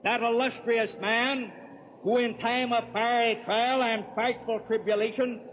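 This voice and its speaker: A male political orator declaims grandly at a very high pitch, drawing out each phrase slowly. It comes through a tinny old radio broadcast. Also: little pitch movement, a little hiss or hum. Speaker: convention orator. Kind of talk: florid political nominating speech.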